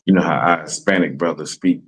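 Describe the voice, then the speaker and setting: A man's voice: a bass voice, steady tone, a little fast, slight background noise. A man explaining greetings in a casual chat, laid-back, often asking "you know what I'm saying". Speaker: class member explaining greetings.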